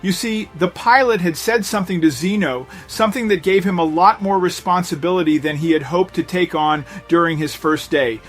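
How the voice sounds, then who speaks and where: Male narrator, lighter register, for suspenseful scripted storytelling; measured delivery over a soft music bed. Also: high voice. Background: background music.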